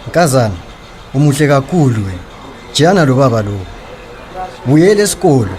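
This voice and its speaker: An actor playing a streetwise, sarcastic creditor in a radio drama, confrontational and taunting, with a Zimbabwean accent. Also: male voice, mid-range voice, sing-song, fairly quick, a little mellow, natural voice. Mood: indignant, threatening. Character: streetwise, sarcastic male creditor demanding his money.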